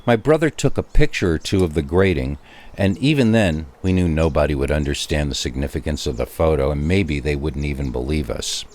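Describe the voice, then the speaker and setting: Very deep-voiced man telling scary stories. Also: fairly quick, a little mellow. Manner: wry, conversational asides to the listener. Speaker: horror story narrator. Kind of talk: dramatic first-person scary story narration.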